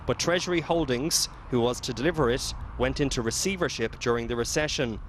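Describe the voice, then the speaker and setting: A man reading a scripted television news report in a measured way. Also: medium pitch, a little hiss or hum. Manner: measured, even.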